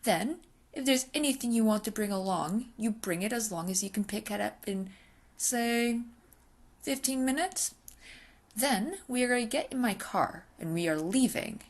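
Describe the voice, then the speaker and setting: Breathy, possessive vampire woman, acted by a woman. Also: fairly high pitch, animated, normal speed. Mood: commanding. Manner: breathy, intimate, often dropping into whispers. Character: obsessive, possessive female vampire, hungry and coolly domineering. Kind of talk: ASMR roleplay acting.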